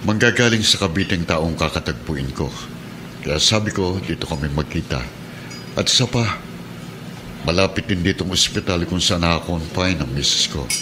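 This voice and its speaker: A man playing a kindly middle-aged Filipino policeman and adoptive father in a radio drama, measured and earnest. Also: low-pitched, noisy background, close to an everyday voice. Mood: grave, earnest. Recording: dramatic music bed behind the voice.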